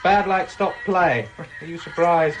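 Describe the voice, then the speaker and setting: An actor playing a breezy, droll, well-heeled English banker with an upper-class accent in a radio drama. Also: male voice, fairly high pitch, a little slow, natural voice, rough recording. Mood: jovial, droll. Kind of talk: British radio drama acting. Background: music.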